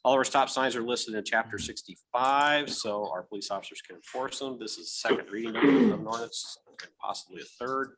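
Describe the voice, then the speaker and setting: A man with a Midwestern American accent chairing a city council meeting, moving briskly through agenda items. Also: medium pitch, very animated, crowd noise, low-quality recording. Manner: brisk, procedural.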